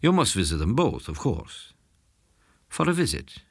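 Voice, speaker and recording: A man's voice: fairly low pitch, huge pitch swings, normal speed, vocal fry, breathy edge, no room sound, clean studio sound. A man with an Irish accent, a monk acting as a heritage tour guide, telling local history as scripted narration with wry humorous asides. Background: background music.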